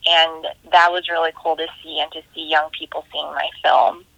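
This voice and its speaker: A woman director on a remote festival Q&A, thinking aloud in a bright, forward voice over a slightly lo-fi connection. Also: sits low, level tone, moderate pace, vocal fry, no echo. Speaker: independent filmmaker being interviewed. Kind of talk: reflective interview answers, casual.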